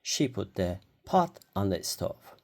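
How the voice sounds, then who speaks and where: A story reader giving a deliberate, expressive read-aloud of a children's storybook. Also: deep voice.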